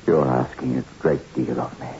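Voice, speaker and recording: An actor playing a shaken, sceptical science lecturer in a 1960s radio drama, with hesitant, educated delivery. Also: male voice, very deep voice, steady tone, moderate pace, mellow. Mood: wary. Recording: muffled, noisy old radio recording.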